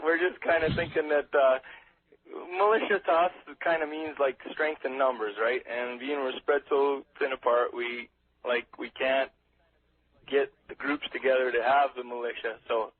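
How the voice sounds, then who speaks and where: A man's voice: medium pitch, fairly even intonation, measured pace, breathy edge. Nervous Canadian man on a thin phone line. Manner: hesitant, folksy, jokey. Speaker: caller to a radio show. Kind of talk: casual, rambling call-in chat. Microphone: telephone. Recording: thin narrow telephone line.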